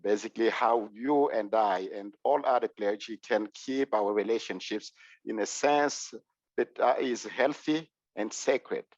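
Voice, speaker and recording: Congolese-accented clergyman, low voice, measured delivery, dull dry call audio. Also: dry recording. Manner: measured, with frequent "uh" and "um". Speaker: clergy presenter. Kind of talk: formal online presentation. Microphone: video call.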